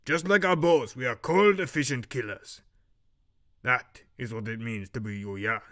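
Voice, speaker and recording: A man voicing a stern master archery instructor with a muddled, Romanian-like Eastern European accent, lecturing in a measured, severe way for an audio drama. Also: medium pitch, a bit bright, close to an everyday voice. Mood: cold, solemn. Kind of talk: fan audio drama character acting.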